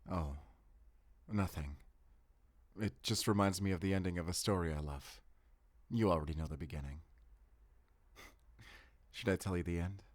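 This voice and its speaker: A man's voice: low-pitched, normal speed, long pauses, no echo. A man playing a brooding, guilt-ridden hunter and tender lover in a cinematic romantic audio roleplay, earnest and intimate. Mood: gentle, storytelling.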